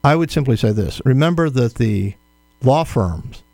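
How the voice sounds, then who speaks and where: Man, gravelly, mellow, measured explanations, interview guest. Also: mid-range voice, lively intonation, no echo. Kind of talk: conversational interview answers.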